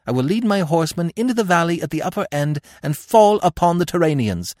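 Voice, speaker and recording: A man's voice: a little high, sing-song, fairly quick, a little mellow, dry recording, pitched above the performer's own voice. A man voicing a proud young royal woman who speaks in a raised, insistent way. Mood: commanding.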